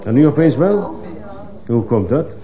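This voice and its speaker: An actor playing a stern, sceptical judge questioning a witness with authority in a radio drama. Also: male voice, mid-range voice, sing-song, a little slow. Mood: probing, sceptical. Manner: authoritative, probing. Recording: muffled, slightly noisy old radio recording.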